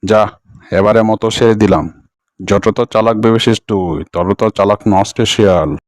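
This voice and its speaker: A man voicing a bossy, bullying tiger who plays doctor in a children's cartoon, gruff and commanding. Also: fairly low pitch, moderate pace, dry recording, clean studio sound, close to an everyday voice. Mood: gloating, menacing. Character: bossy, bullying male tiger playing quack jungle doctor. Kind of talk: animated children's cartoon voice acting.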